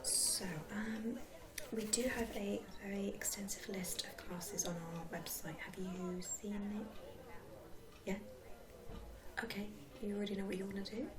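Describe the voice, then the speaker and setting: A woman acting as a helpful sports centre receptionist speaks in a soft, friendly whisper. The delivery is unhurried and gentle, in ASMR roleplay style. A clear murmur of people sits behind the voice. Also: medium pitch, steady tone, a crowd in the background, lightly acted. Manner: soft-spoken, whispering, unhurried, hesitant fillers.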